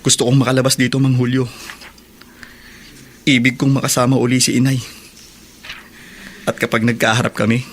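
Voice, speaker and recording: A man's voice: mid-range voice, monotone, moderate pace, long pauses, close to an everyday voice. A man playing an earnest, emphatic young prisoner set on reform in a radio drama. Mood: earnest resolve. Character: earnest young male inmate determined to reform himself. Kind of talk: Filipino radio drama acting. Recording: faint background noise and ambience.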